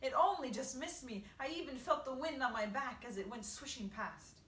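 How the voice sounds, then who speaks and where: A woman voicing an anxious, self-pitying earthworm in a story, with a drawn-out, pleading whine. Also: high-pitched, a bit bright, reverberant, distant mic, pitched above the performer's own voice. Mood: fearful, wailing.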